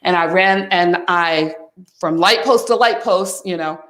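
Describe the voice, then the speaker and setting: A woman in her fifties giving a motivational wellness talk, speaking warmly and directly to the listener as "my friend" and "honey.". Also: lowish voice, measured pace. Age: 50s. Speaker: wellness coach. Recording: echoey room.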